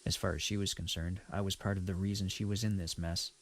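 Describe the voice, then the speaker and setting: A man's voice: low voice, little pitch movement, quick pace. A man playing a guilt-ridden, resigned pony, narrating an audio drama in the first person with grave sorrow. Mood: guilty, anguished. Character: guilt-ridden adult pony factory worker, ashamed, resigned.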